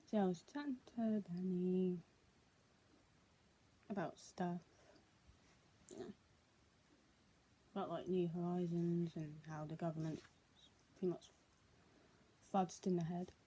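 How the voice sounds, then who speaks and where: A woman's voice: lowish voice, steady tone, slow pace, pauses often, dark tone, slight background noise, low-quality recording. A young adult woman, speaking as a vlogger in a casual, homemade style. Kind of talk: casual vlog, reading news aloud and chatting.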